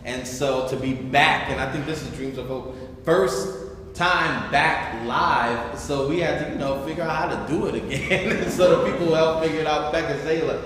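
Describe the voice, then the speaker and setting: A man's voice: a little high, moderate pace, a little hiss or hum. A man hosting a live event, giving emphatic thank-you remarks to an audience and calling for applause. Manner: emphatic, repeating words for applause. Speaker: event host. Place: stage in a large reverberant hall. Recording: echoey hall from far off, loud crowd.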